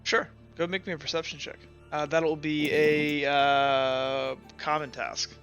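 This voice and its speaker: Bright-voiced man as game master, halting. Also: on the high side, little pitch movement, dull audio, faint music behind. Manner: halting, repeats words mid-sentence. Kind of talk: improvised narration of an online tabletop game.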